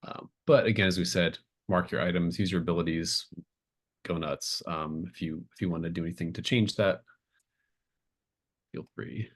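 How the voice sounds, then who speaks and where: A man's voice: deep voice, steady tone, moderate pace, long pauses. A man acting as game master, casually narrating a tabletop game, encouraging and often thinking aloud.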